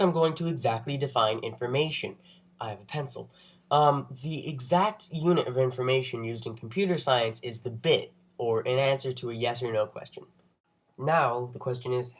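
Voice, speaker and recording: A young man with a cold and a congested voice, giving an informal science explainer with wry, nerdy, self-joking asides. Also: mid-range voice. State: under the weather with a cold. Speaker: presenter of an educational video.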